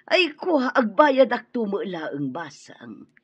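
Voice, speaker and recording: An actress playing a gossipy, money-minded middle-aged mother in a radio drama, exclamatory and husky. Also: medium pitch, very animated, slow pace, dry recording, heavily acted. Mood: pleading, hurt. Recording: dull lo-fi radio recording.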